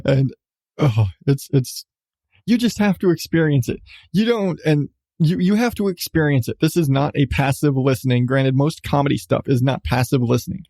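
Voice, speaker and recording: A man hosting a podcast, giving a casual, rambling review with halting starts, repeated phrases and an irreverent, sweary manner. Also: mid-range voice, a little mellow, no room sound, clean studio sound.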